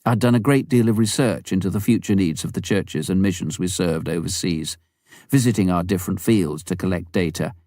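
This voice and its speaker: A man's voice: low-pitched, fairly quick, warm tone, dry recording, clean studio sound. A man reading a memoir aloud as scripted audiobook narration. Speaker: audiobook reader.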